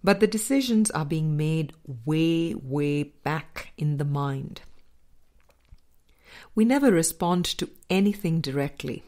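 A woman reading a spiritual audiobook as scripted narration, in measured, deliberate phrases with pauses. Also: low voice, animated, darkish tone. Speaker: audiobook reader.

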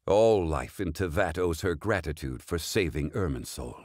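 Very deep, husky, composed ancient male god for a game, dry studio read. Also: lively intonation, normal speed, darkish tone, no echo. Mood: solemn. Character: stately ancient male god, calm and formal. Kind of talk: game character voice line.

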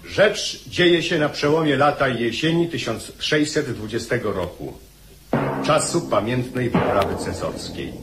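Formal male narrator, medium pitch, unhurried, echoing over music. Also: animated. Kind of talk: formal scripted scene-setting narration. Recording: echoey, music behind the voice.

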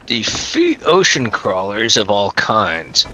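Bright, sharp-voiced man in game chat. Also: fairly low pitch, big pitch swings, takes it slowly, rough recording. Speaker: gamer playing co-op with friends. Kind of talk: casual gaming chat.

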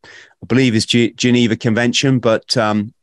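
A middle-aged British man hosting an interview podcast in a halting, conversational way, often repeating words. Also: medium pitch, little pitch movement, no room sound. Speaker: podcast host. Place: small room at home, video call. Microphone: close podcast microphone.

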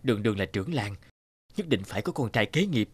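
Stern cartoon village chief. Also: male voice, on the low side, lively intonation, quick pace, husky, no echo. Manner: stern, commanding. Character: stern, tradition-bound male village chief. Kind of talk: cartoon dubbing.

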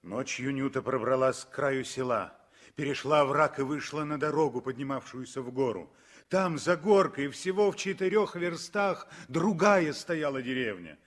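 An actor narrating a 1960s radio play as a solemn, measured and expressive storyteller. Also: male voice, medium pitch, natural voice. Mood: dramatic.

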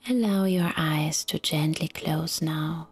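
Very slow, breathy woman guiding relaxation. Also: deep voice, steady tone, faint music behind. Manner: soft, soothing, breathy, half-whispered delivery with long pauses. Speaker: yoga nidra guide. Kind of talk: guided relaxation meditation.

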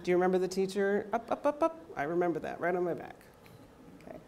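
A woman in her thirties giving a health lecture into a handheld mic in a university lecture hall, loud and energetic, often checking in with the audience. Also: fairly low pitch, fast talker, a little hiss or hum. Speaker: athletic trainer and lecturer. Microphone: handheld microphone.

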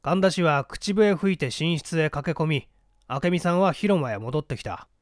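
A man's voice: fairly high pitch, animated, moderate pace, no room sound, studio quality, lightly acted. A man voicing a blunt, hot-headed newspaper reporter giving his account curtly in a mystery reading. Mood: recounting, brusque. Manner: curt, defensive. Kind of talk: dramatic storybook reading of a mystery.

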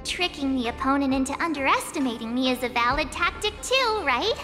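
Cheerful girlish game heroine, swooping intonation, expressive, long reverb. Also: high-pitched, a little fast. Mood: perky, confident. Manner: perky, expressive. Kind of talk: anime-style video game voice acting. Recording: long reverb, music behind. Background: music.

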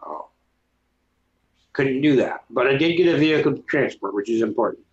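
A man in his thirties from New York, a guest speaking calmly with many pauses over a video call from home. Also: mid-range voice. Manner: calm, plain, many filler pauses. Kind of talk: casual interview chat. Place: home room. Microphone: video call with webcam mic. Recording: dull lo-fi webcam audio.

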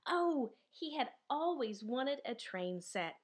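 A woman reads a children's storybook aloud. Her voice is fairly high and very animated, swooping up and down. She goes slowly and deliberately, stretching words out expressively. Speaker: storybook reader. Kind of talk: children's picture book read-aloud.

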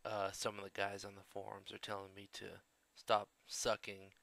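A man's voice: on the low side, monotone, normal speed, creaky, a bit bright. A young man with a cold doing casual, swearing commentary over a game he is playing. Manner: swearing, offhand. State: has a cold. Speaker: let's play host.

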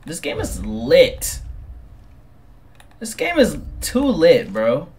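A man in his twenties commentating on a game through a headset, excitable and slangy, repeating himself. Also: fairly high pitch, very slow. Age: 20s. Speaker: gaming streamer. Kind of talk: casual gameplay commentary.

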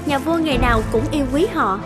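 A woman's voice: sits high, quick pace. A woman narrating a fairy tale from a script as storyteller. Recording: loud background music.

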